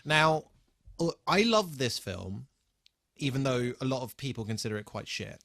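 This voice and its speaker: Male podcast co-host, mid-pitched and bright, dry close sound. Casual, wry, sweary, unscripted banter. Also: sing-song, normal speed, vocal fry, dry recording. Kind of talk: casual unscripted TV re-watch chat.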